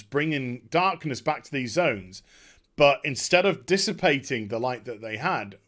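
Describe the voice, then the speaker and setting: A man hosting a gaming video, giving a casual, unscripted recap in a halting, digressive way and often correcting himself. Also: mid-range voice, fairly bright tone.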